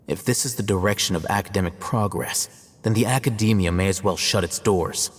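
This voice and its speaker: An actor playing a calm, measured male academy scribe who explains things in a scholarly way in a video game. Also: lowish voice, a little hiss or hum, close to an everyday voice. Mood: reasoned. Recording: long reverb with game music.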